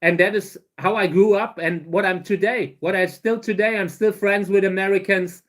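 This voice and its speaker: A German man in his thirties with a German accent, answering viewer questions on a casual livestream, halting and restarting his sentences often. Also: sits high, steady tone, fairly bright tone. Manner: halting, many restarts and fillers. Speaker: livestream host.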